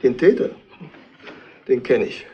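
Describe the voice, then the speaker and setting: An actor playing a distraught, jealous innkeeper in a retro radio crime play, speaking haltingly and repeating himself. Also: male voice, muffled recording, slight background noise, close to an everyday voice. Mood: bitter. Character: distraught, jealous innkeeper husband of murdered wife.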